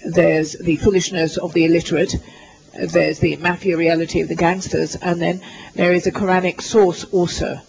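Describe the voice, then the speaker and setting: High-voiced man interpreting live. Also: level tone, gravelly, a little mellow, husky, poor audio. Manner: halting, with many fillers and restarts while rendering others' words. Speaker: simultaneous interpreter. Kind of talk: live interpretation at a university lecture. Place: lecture hall.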